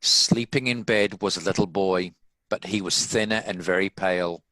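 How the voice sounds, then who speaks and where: Deep, crisp-edged male narrator. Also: moderate pace, bright, forceful tone, no echo, rough recording. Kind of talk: scripted story narration. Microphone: home video-call recording.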